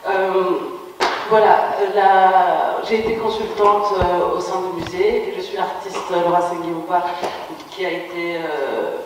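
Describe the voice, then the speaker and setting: A woman's voice: fairly low pitch, steady tone, a little slow. A woman, an artist, speaking up hesitantly at a conference with many fillers. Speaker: artist and former museum consultant. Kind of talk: public intervention at a conference. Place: large parliament hall. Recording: reverberant hall, distant mic, faint room noise.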